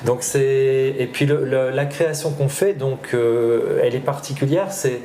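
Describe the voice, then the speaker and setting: A man answering interview questions as a theatre director, explaining things haltingly as he thinks aloud. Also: mid-range voice, dark tone. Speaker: theatre director and drama teacher being interviewed. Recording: roomy echo, faint background noise.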